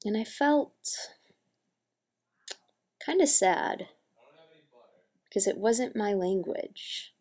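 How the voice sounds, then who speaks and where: A woman playing an earnest, wistful young deaf signer in a dramatic monologue, speaking in halting, deliberate phrases. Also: mid-range voice, expressive, dark tone. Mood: wistful, hesitant.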